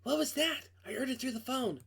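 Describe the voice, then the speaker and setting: A man's voice: very high-pitched, animated, a little fast, creaky, fairly bright tone, husky, pitched above the performer's own voice, heavily acted. A man voicing a falsely reassuring woman friend in a visual novel, soothing, insistent and downplaying. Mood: probing.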